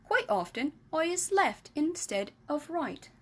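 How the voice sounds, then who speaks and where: A woman's voice: big pitch swings, measured pace. A woman reading aloud and voicing a big, kindly giant who mangles his grammar and invents words. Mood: emphatic. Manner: jumbled grammar, made-up words, booming. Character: big friendly giant, kindly, muddled speech.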